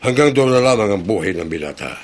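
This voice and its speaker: An actor playing a solemn, grave messenger of death in a Filipino horror radio drama, speaking firmly and with measure. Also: male voice, on the low side, animated, quick pace.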